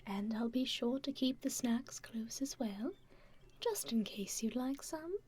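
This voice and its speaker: Girl doing a sweet teasing stranger lady for asmr roleplay, kinda high but super breathy, slow and gentle, whispery. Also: lively intonation. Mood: soothing, caring. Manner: gentle, unhurried, softly teasing. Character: kind, teasing female stranger caring for a festival-goer. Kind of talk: ASMR roleplay, intimate personal attention.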